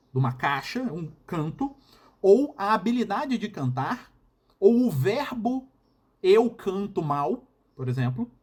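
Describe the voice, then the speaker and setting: Brazilian guy teaching a uni class, kinda husky, voice goes up and down a lot. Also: sits high. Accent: Brazilian Portuguese. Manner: explanatory, frequent checking "tá?" and "né?". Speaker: university lecturer. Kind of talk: recorded course lecture.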